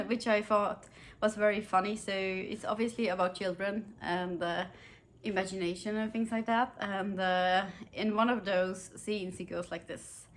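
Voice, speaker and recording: A woman's voice: mid-range voice, fairly even intonation, a little slow. Chatty German-native woman reviewing books. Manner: chatty, giggly, many fillers and restarts. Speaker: book reviewer. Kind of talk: casual book review vlog.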